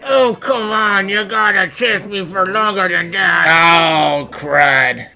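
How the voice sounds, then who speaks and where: A performer voicing a grumpy, sarcastic, quick-witted talking dog in an animated fan dub, blunt and complaining. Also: medium pitch, heavily acted. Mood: exasperated, taunting. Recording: music under the voice.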